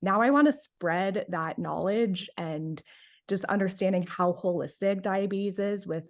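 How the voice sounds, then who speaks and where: A woman's voice: medium pitch, unhurried. A woman in her late twenties from North Carolina, a diabetes health coach, chatting casually as an interview guest, laughing often and filling with "like". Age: 20s.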